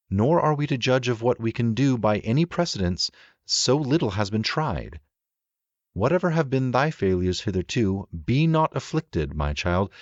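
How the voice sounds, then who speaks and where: Male audiobook narrator, lowish voice, formal measured literary delivery, dry and slightly dull sound. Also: no room sound.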